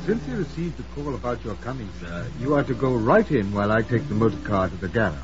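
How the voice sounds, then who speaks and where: An actor playing a reserved, deferential chauffeur and butler in a 1950s radio drama, stiffly polite. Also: male voice, medium pitch, big pitch swings, fast, lightly acted. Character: formal, reserved male chauffeur who doubles as butler. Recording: muffled old radio, music behind.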